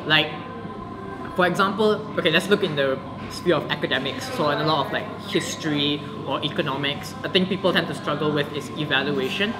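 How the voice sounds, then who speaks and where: A man's voice: on the high side, a little fast, low-quality recording. A young man, a debater, explaining his views in a thoughtful, articulate way. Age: young adult. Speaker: debater, guest. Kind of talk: casual interview.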